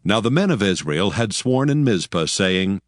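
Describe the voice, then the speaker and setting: A man reading scripture as the narrator of a dramatized audio Bible, solemn and measured. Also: lowish voice, sing-song, no echo, clean studio sound. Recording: prominent background music. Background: music.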